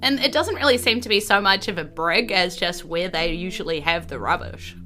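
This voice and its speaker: A woman's voice: on the low side, moderate pace, bright, forceful tone. A woman with a New Zealand accent improvising narration as game master of a tabletop game, conversational and descriptive. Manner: conversational, descriptive, hedging with kind of and sort of. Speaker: game master, podcast host. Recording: faint music behind the voice. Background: faint background music.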